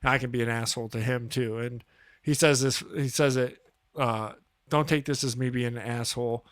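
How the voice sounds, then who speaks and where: A man chats easily on a podcast, agreeing often and restarting his sentences as he thinks. His voice sits at an ordinary pitch. The sound is dry and close to the mic. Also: steady tone, normal speed, no echo. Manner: laid-back, agreeable, hesitant restarts. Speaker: podcast co-host. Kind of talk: casual chat.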